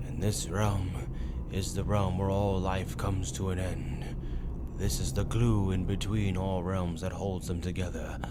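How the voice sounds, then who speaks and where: Deep, smug, posh demon tempter. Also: male voice. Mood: smug, grandiose. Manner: theatrical, grandiose, deliberate. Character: smug, posh, flirtatious demonic male entropy being, seductive villain. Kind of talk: dark fantasy audio drama ASMR.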